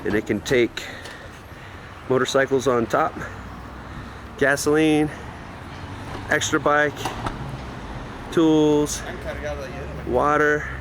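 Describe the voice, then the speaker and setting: A man's voice: on the high side, fairly even intonation, very slow, pauses often, a bit bright, a little hiss or hum. A man leading a motorcycle tour, narrating his travel video in an easygoing, joking way, recorded outdoors. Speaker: tour leader filming the trip.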